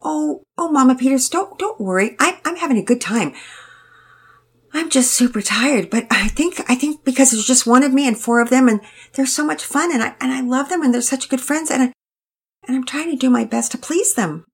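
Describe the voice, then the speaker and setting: A woman's voice: high-pitched, a little fast, husky, pitched above the performer's own voice. A woman voicing a timid, people-pleasing young girl who is exhausted, stammering and out of breath. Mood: flustered. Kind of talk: storybook reading.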